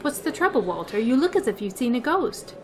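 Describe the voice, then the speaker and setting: A woman's voice: on the high side, sing-song, a little fast, a little mellow. A woman playing a long-suffering schoolteacher in an old-time radio comedy, with dry, sarcastic delivery. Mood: wry, indignant.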